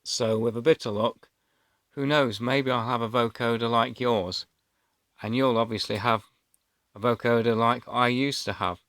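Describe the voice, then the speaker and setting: A man's voice: mid-range voice, steady tone, normal speed, a bit bright, no room sound. Hesitant man in his fifties, dry sound. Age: 50s. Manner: hesitant, rambling. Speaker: vlogger. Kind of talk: casual personal storytelling to camera.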